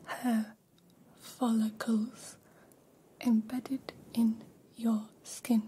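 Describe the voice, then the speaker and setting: A woman voicing a gentle, curious female alien in an ASMR roleplay, speaking in a breathy whisper with careful, formal phrasing. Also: a little high, heavily acted, poor audio. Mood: curious, fascinated. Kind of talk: ASMR personal attention roleplay.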